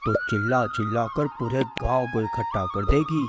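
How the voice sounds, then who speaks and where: A man's voice: mid-range voice, normal speed, close to an everyday voice. A man voicing a scheming, anxious village milkman in a Hindi cartoon story, with a complaining, dramatic delivery. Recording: loud background music with flute. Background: loud flute music.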